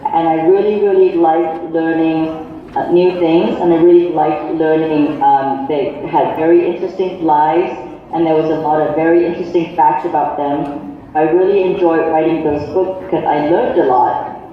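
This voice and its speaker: A woman's voice: deep voice, fairly even intonation, slight background noise. Middle-aged woman author on an echoey call. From Malaysia. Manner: gentle, unhurried, pauses to search for words. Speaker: guest speaker and children's author. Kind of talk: answering students' questions. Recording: echoey, dull lo-fi call audio.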